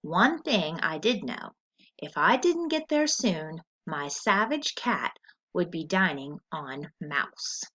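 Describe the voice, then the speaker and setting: A woman teacher reading a story aloud in an expressive, deliberate, instructional way, as for a lesson with questions. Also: on the low side, husky. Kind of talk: scripted story read-aloud with comprehension questions. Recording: dull lo-fi recording.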